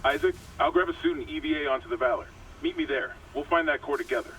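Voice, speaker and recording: A man's voice: a little high, quick pace, fairly bright tone, distant mic, a little hiss or hum, lightly acted, poor audio. An actor playing a commanding, plain-spoken male security officer in a video game cutscene. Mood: urgent, decisive. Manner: authoritative, plain-spoken, profane.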